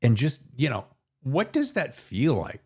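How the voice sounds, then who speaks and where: A man's voice: mid-range voice, very animated, a little slow, slightly rough. A man hosting a podcast interview in a casual, chatty way with folksy phrasing. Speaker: podcast host interviewing an author.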